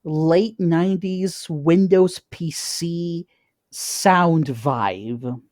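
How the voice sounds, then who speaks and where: A man co-hosting a podcast, chatting informally and haltingly, often repeating words as he goes. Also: on the high side, a little mellow, dry recording, clean studio sound. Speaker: podcast co-host. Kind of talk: casual chat.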